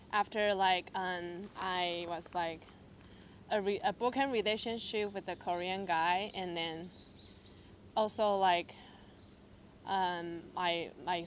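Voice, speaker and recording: Young woman from taiwan, english speaker, personal testimony, lots of ums and likes, slight background noise. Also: fairly low pitch. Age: young adult. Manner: hesitant, filler-heavy storytelling with lively quoted asides. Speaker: church member sharing testimony.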